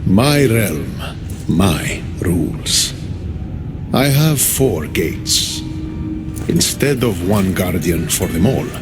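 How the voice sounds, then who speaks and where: A man's voice: sits low, animated, extremely slow, heavily acted. An actor playing a sardonic, volatile god king of the underworld in a video game, with theatrical, mock-courteous menace. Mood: controlled menace. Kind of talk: video game cinematic acting. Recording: loud music behind the voice. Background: loud cinematic game music.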